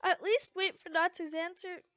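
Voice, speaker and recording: A pushy teenage best friend, played by a woman reading a story, talks in a bright, high voice. She is sarcastic, teasing and insistent. The sound is a dull home recording. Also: fairly even intonation, moderate pace, dry recording.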